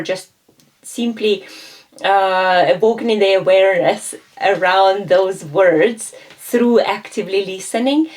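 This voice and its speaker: A woman instructor walks through a coaching lesson in a measured, explanatory way. She acts out short exchanges between coach and client, pausing on fillers and correcting herself as she goes. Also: medium pitch. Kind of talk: lecture with role-play examples.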